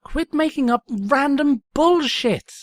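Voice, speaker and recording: A woman's voice: high-pitched, animated, slow, no room sound, studio quality. A woman voicing a hot-tempered, indignant young female pony in an acted fan fiction reading. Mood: exasperated. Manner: indignant, exasperated, emphatic.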